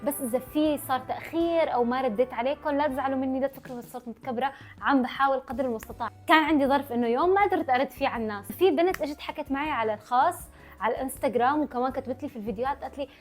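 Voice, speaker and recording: A woman's voice: high-pitched, very fast, soft background music. A young adult woman with a Levantine Arabic accent, chatting casually to her followers as a vlogger and running her words together. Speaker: vlogger answering followers' questions.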